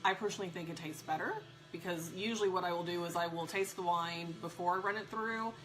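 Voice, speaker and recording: A woman's voice: on the low side, fairly even intonation, quick pace, music in the background, poor audio. A woman guest on a casual wine chat, explaining things easily with fillers like "um" along the way.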